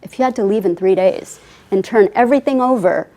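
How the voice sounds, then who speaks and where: A woman's voice: mid-range voice, brisk, mellow. A woman in her forties, born in Afghanistan with Farsi as her first language, earnestly telling her life story as an author guest. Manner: earnest, heartfelt, often says "I mean". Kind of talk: interview storytelling.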